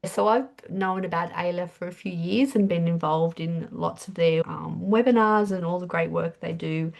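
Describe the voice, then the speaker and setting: Older Aussie woman, low croaky voice, stuffed-up nose, informal presenter. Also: normal speed. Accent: Australian. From Australia. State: has a cold, nasal. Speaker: webinar speaker. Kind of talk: casual presentation.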